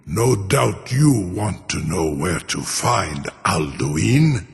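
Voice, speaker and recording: An ancient dragon from a fantasy game, proud and cunning. The voice is low and crackles with gravel, wrapped in long cavernous reverb. Words are formal and grandiose, each one savored. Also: male voice, big pitch swings, takes it slowly, soft background music, full character voice. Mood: haughty, scheming. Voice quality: deep, gravelly growl. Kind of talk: fantasy video game acting.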